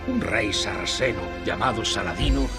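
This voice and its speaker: An actor playing an old exiled nobleman who recounts history in solemn, measured Castilian Spanish, as a dramatic game narration. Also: fairly low pitch, expressive, loud music behind the voice, lightly acted.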